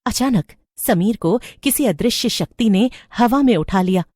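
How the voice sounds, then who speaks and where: A woman's voice: mid-range voice, lively intonation, a little slow, warm tone, no room sound, studio quality. A woman reading scripted narration for a horror story.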